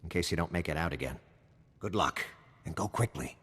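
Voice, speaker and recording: A weary male intelligence officer in a video game. His low, husky voice briefs with wry, matter-of-fact secrecy, in a light cavernous reverb. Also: expressive, a little fast, a bit bright, exaggerated voice. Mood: grave, urgent.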